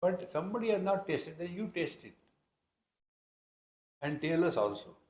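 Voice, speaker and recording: A man's voice: high-pitched, big pitch swings, normal speed, a little mellow. A man with an Indian accent giving a spiritual discourse as a teacher, explaining scripture in a conversational yet emphatic way. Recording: roomy, echoing hall sound.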